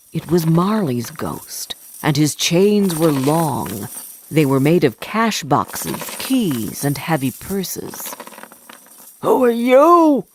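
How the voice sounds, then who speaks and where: A woman narrating a storybook for English learners in a deliberate, expressive manner. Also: deep voice.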